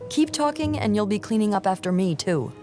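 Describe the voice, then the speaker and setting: A woman's voice: mid-range voice, expressive, brisk, music in the background, lightly acted. A woman playing a teasing, sardonic young hotel receptionist in a video game cutscene. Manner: playful sarcasm.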